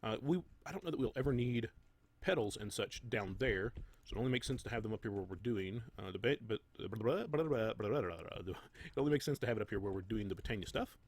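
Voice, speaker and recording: A man's voice: fairly low pitch, lively intonation, quick pace, darkish tone, husky, no room sound. Nasal man with a head cold. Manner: rambling, self-correcting, chatty. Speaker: gaming channel host. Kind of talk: casual gameplay commentary. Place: home room with doors open.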